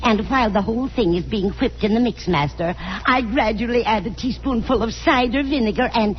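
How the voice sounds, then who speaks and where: A woman's voice: on the high side, brisk, natural voice. An actress playing a chatty, dotty landlady with an earnest, chirpy manner in a 1940s-style radio sitcom. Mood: proud. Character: chatty, dotty landlady, proud of odd cooking. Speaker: supporting actress in radio comedy. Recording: muffled, slightly noisy vintage radio.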